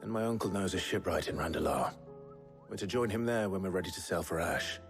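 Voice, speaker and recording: Very deep, grave fantasy game hero. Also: male voice, faint music behind. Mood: grave, subdued. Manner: terse, grave, measured. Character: gruff, resolute male fantasy hero, grave and guarded. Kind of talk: dramatic video game cutscene acting.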